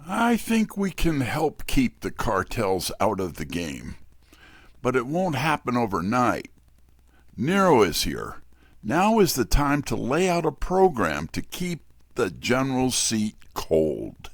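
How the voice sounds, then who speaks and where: A man's voice: medium pitch, huge pitch swings, slow pace, dry recording, clean studio sound, exaggerated voice. An actor playing a detective's wry right-hand man, colloquial, in a scripted audio drama. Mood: confident.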